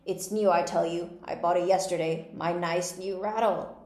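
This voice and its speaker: A woman's voice: on the low side, flat delivery, normal speed, darkish tone, roomy sound, natural voice. Woman putting on a blustering, quarrelsome little storybook man. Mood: furious. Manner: blustering, emphatic.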